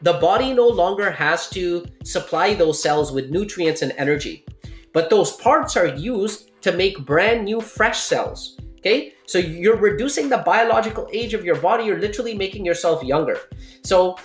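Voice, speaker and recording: A podcast host in their late thirties talks through health facts in a relaxed, explanatory way, often pausing to check in with the listener. The voice sits in a middling register and rings in an echoey room, with soft music playing faintly underneath. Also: mid-range voice. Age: 30s. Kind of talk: casual health talk. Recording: roomy echo with faint music.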